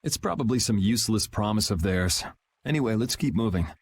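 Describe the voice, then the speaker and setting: A man's voice: fairly low pitch, expressive, a little fast, breathy edge. An actor playing a cool, wry young swordsman with a casual, teasing way in a video game. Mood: cool, serious. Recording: music under the voice.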